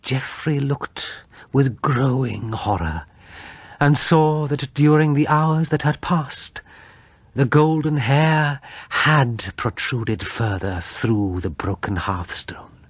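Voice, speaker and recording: A male audiobook narrator delivers gothic horror in a grave, suspenseful manner. His voice is husky and breathy, and he takes his time over each phrase. The sound is dry, without any room echo. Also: mid-range voice, slow pace.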